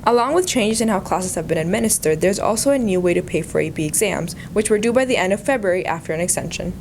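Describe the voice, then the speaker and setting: A young woman reads a student news story as a voice-over, moving quickly through the script. A faint rumble sits in the background. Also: expressive, darkish tone. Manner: read, clipped delivery. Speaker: student reporter.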